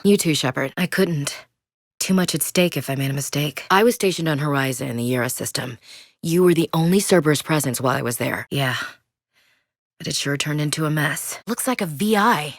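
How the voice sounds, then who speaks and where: A blunt, dry-witted young marine soldier in a video game. Her voice sits low and carries a soldier's plain directness. It is recorded in a dry booth with no room sound. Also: no room sound. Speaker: squad soldier.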